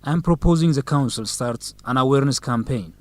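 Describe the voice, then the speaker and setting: A man's voice: mid-range voice, brisk, no room sound, lightly acted. A man playing an earnest, formal young student council president in a scripted educational radio drama. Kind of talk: scripted radio drama acting for English learners.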